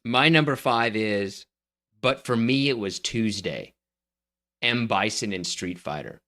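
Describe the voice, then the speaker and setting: A man's voice: on the low side, slow, a bit bright. Skeptical man debating movie picks. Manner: skeptical, argues his picks at length. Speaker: podcast co-host. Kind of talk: casual debate chat.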